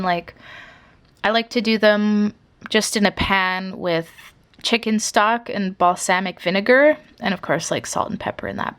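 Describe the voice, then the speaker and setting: A woman's voice: mid-range voice, a bit bright. Chatty young woman rambling over a sketchbook. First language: English. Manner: rambling, chatty, many fillers. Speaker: artist showing her sketchbook. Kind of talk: casual sketchbook flip-through chat.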